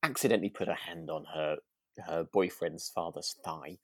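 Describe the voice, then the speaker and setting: A British man co-hosting a podcast in relaxed, casual chat, speaking tentatively and hedging as he goes. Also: low-pitched, normal speed. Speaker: podcast co-host.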